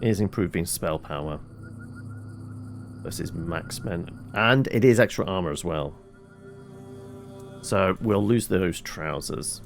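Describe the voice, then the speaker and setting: A man's voice: low voice, takes it slowly, creaky, long pauses, soft background music. A man doing casual gameplay commentary as a streamer, musing aloud and answering his own questions. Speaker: video game streamer.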